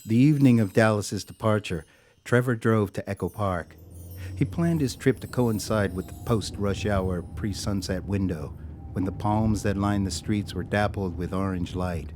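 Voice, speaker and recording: An actor as the narrator of an audio drama, telling a scripted story in a wry, sardonic way. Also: male voice, fairly low pitch, normal speed, darkish tone, a little hiss or hum, close to an everyday voice. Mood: wry, measured.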